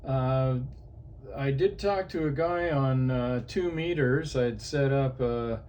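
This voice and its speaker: Slow-talking man on a ham radio. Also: mid-range voice, a little mellow, slight background noise. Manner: unhurried, halting, frequent "uh" fillers. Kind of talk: casual radio chat. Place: outdoors portable setup.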